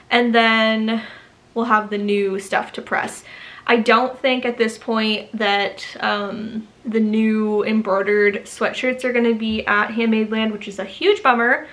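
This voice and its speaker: A woman's voice: on the high side, a bit bright. A young woman talking casually to camera about her small business, rambling with lots of ums, a bit stuffy from allergies. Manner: chatty, rambling, many ums and asides. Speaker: small business owner vlogging. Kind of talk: casual vlog chat to camera. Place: home studio office.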